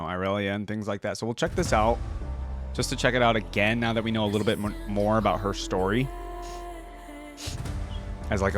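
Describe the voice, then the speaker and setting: Casual man reacting over background music. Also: lowish voice. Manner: informal, slangy, enthusiastic. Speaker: reaction video host. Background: music from the videos being watched.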